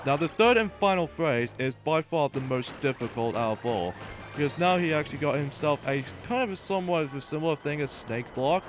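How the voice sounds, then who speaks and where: Rambling man commentating over game music. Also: fairly high pitch. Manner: rambling, halting explanations. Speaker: let's play host. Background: video game music.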